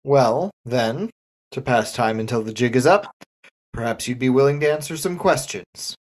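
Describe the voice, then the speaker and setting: A man playing a polite, restrained police detective, measured and formal, in a comic radio murder mystery. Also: mid-range voice, lightly acted. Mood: tactful, grave.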